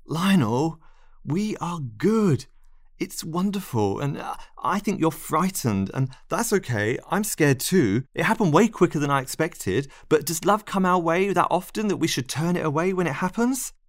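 Man playing a desperate lovesick man, raised pitch. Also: slow pace, hardly any pauses, no room sound, clean studio sound. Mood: pleading, insistent. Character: lovesick pleading man, desperate, refusing to accept rejection. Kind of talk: dramatized monologue storytelling.

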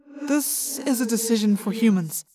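A woman voicing a proud young male alien warrior in an audiobook, speaking formally, bluntly and deliberately. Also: on the high side, a crowd in the background, lightly acted. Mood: grave.

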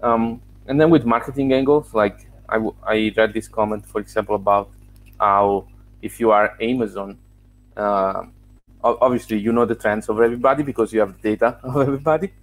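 Gravelly-voiced man explaining. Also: fairly low pitch, steady tone, brisk, poor audio. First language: Italian. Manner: explanatory, walks through examples step by step. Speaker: guest, software founder. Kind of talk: casual interview answers.

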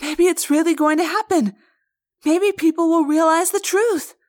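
A woman voicing a gentle teenage girl who speaks high and breathless with joy. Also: normal speed. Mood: exultant.

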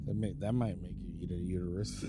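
A man co-hosting a casual podcast, animated and reactive, swearing freely. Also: sits low, fast talker, over loud music. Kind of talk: casual banter.

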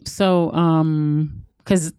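A woman's voice: sits low, steady tone, very slow, warm tone, clear, resonant tone, dry recording, clean studio sound. A woman hosting an interview in a casual, conversational way. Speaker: interview host.

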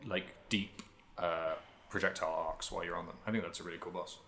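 A man's voice: low voice, fairly even intonation, quick pace, creaky, bright, forceful tone, a little hiss or hum. A man co-hosting a podcast, chatting casually about video games. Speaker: podcast co-host.

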